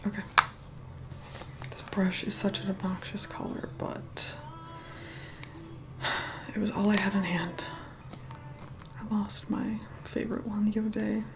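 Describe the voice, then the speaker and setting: A woman playing an aloof, reluctantly caring vampire woman in an ASMR roleplay, soft-spoken and breathy, often whispering, slow and condescending. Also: mid-range voice, level tone, noisy background. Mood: bossy, aloof. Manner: slow, condescending, grudgingly kind. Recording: loud music behind the voice. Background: music.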